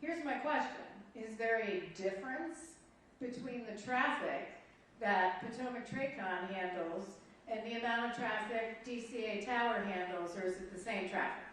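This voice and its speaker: Halting, deliberate woman in an echoey hearing room. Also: a little high, fairly bright tone. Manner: halting, deliberate, emphatic on key points. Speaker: board official questioning staff at a hearing. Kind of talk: formal public hearing remarks and questioning. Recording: echoey room, distant mic, faint noise.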